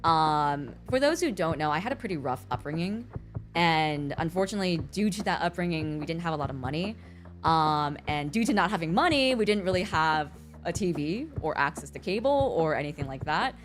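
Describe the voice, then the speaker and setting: A woman's voice: sits low, lively intonation, a little fast, faint music behind. A young woman with an American accent and Mandarin as her first language, chatting casually as a livestreamer in a giggly, talkative way. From born in China, raised in the US from about age six. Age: young adult. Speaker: streamer.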